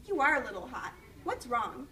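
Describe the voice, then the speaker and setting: A woman's voice: sits high, very animated, moderate pace, pushed and bright, slight background noise, full character voice. A woman voicing a caring, patient mother in a children's storybook read aloud, recorded at a distance from the mic.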